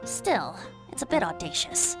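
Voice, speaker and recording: A woman's voice: fairly low pitch, big pitch swings, measured pace. A woman voicing a playful young male bard with flowery, whimsical, mock-grand delivery in an anime video game. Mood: playful indignation. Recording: loud music behind the voice.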